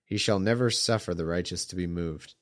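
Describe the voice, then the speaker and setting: A congested man reading old scripture aloud at an even pace, breaking off for mocking, irreverent asides. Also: low voice, brisk. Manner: irreverent, mocking, chuckles at the text.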